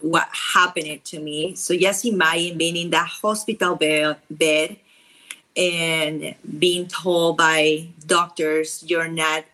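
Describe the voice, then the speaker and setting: A woman from Peru with a strong Peruvian Spanish accent, a podcast guest speaking from home, halting and searching for words. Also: on the low side, bright, forceful tone, rough recording. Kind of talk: interview conversation.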